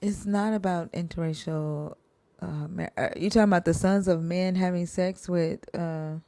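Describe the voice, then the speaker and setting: A woman hosting a live stream Q&A, talking in a halting way with many fillers and restarts. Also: fairly low pitch, steady tone, dark tone, no room sound, clean studio sound.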